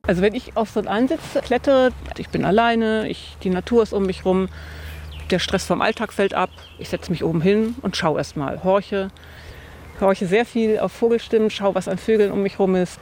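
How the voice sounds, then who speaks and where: A woman in her fifties talks in a relaxed, thoughtful way about hunting, full of little fillers. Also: medium pitch, moderate pace, slight background noise. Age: 50s. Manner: thoughtful, lots of fillers like tatsächlich and also. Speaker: hunter interviewed about her hobby. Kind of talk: relaxed conversational storytelling. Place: outdoors by a field.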